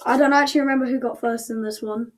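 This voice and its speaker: A woman's voice: a little high, fairly even intonation, fast talker. A woman casually announcing results as the host of an online show, chatty and thinking aloud as she goes.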